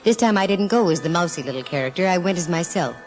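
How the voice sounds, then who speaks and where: A gutsy female private eye from a 1940s radio mystery, played by a woman, with a notably low voice. She is breezy and sardonic, tossing off hard-boiled wisecracks. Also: expressive, quick pace, faint music behind, close to an everyday voice. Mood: wry, storytelling. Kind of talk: 1940s radio acting with first-person narration.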